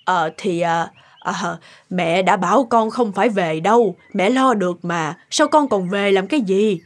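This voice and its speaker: A woman playing a kindly, hesitant elderly grandmother in a cartoon, speaking haltingly and evasively. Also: mid-range voice, lightly acted. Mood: anxious. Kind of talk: cartoon dialogue acting.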